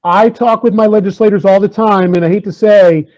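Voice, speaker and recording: A school superintendent addresses an online parents' meeting in a fairly high, soft-edged voice. He is earnest and lays down his points with frequent "uh"s, repeating the numbers that matter. The call audio is dull and lo-fi. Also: fairly even intonation, moderate pace. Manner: earnest, many "uh" fillers, stresses key figures by repeating them. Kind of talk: speaking at an online community meeting. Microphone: video call.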